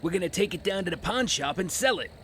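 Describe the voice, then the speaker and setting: An actor playing a cocky, aggressive young street thief in an audio drama, brash, slangy and bossy. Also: male voice, high-pitched, expressive, fast talker, background noise.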